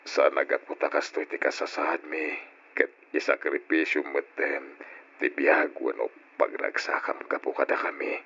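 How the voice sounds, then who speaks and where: Worried, aging father, radio drama; very deep, sorrowful, Ilocano accent, muffled recording. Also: little pitch movement, normal speed, gravelly, sharp tone, husky, heavily acted. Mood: weary, sorrowful. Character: weary, worried aging father, caring for his family. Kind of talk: old radio drama acting.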